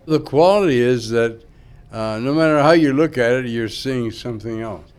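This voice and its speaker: An older man whose first language is Greek, a sculptor musing slowly and thoughtfully about his work. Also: mid-range voice, darkish tone, smooth. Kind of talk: interview reflection.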